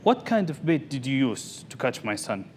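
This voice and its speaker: A man's voice: mid-range voice, big pitch swings, normal speed, a little mellow, natural voice. A man voicing a majestic, indignant fish king in a pompous, declaiming manner for a live tall tale. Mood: eager, regal. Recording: noisy live event recording.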